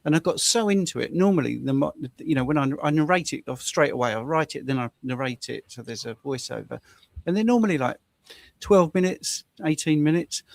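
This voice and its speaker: Casting: croaky host guy, mid pitch, gravelly fry, dry close sound, chatty and keen. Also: animated, fairly quick, dry recording. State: croaky voice from long narration. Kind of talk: casual live-stream chat. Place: home, video call.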